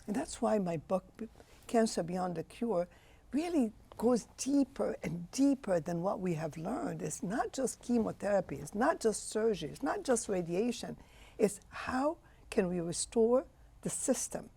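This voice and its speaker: A woman's voice: mid-range voice, animated, measured pace, vocal fry, mellow, slightly rough. A woman in her sixties from Egypt, a physician answering interview questions with passionate, emphatic phrasing. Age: 60s. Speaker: physician guest.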